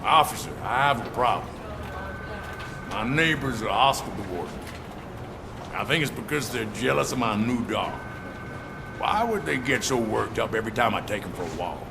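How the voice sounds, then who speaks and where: A man's voice: fairly low pitch, animated, normal speed, vocal fry, pauses often, background noise. An actor playing an oblivious, eccentric male citizen in a video game, earnest and chatty in his complaints.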